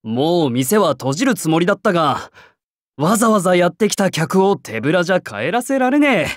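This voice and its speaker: A confident young warrior king from a fantasy game. His talk is casual and a little cocky, with lively, swinging intonation. The recording is dry and close. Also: sits high, a little fast, no echo. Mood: calm, generous. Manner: casual, cocky masculine speech. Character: confident young warrior king tending shop, easygoing, rough-spoken. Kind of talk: Japanese game character voice acting.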